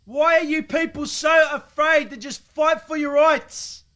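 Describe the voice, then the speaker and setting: Male ranter, extremely high and bright, confrontational, exasperated, foul-mouthed. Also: fairly even intonation, measured pace. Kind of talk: heated rant to listeners.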